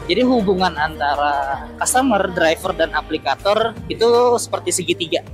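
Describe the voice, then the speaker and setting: A man chatting casually as a motorcycle taxi driver, explaining things with halting self-repeats over a helmet intercom while riding. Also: a little high, expressive, over music. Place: outdoors, riding a motorcycle through city streets. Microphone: helmet intercom headset.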